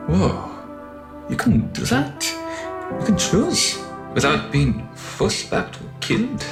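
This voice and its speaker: A man's voice: high-pitched, huge pitch swings, slow, gravelly, music in the background, exaggerated voice. A man playing an alien conscript soldier in an audio drama, blunt and wistful, speaking in literal, formal phrases with puzzled questions. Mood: wistful, resigned.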